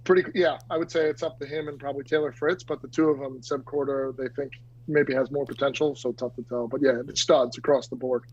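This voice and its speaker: A man co-hosting a golf podcast, talking in a laid-back way with a hoarse voice. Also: mid-range voice, steady tone, fairly quick, dull audio. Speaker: podcast co-host. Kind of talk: casual chat.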